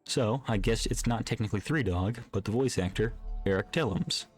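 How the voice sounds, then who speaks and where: A man reading a scripted horror story as a narrator, with measured, deliberate delivery. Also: on the low side. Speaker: creepypasta narrator. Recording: music bed under the voice. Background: background music.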